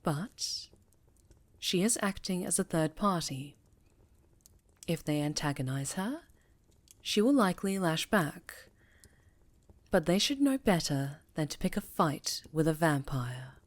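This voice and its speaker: A woman playing a stern, commanding witch who leads a coven, speaking formally and with measured authority in an ASMR fantasy roleplay. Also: low voice, sing-song, heavily acted. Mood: grave, measured. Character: stern, commanding female high enchantress leading a witch coven.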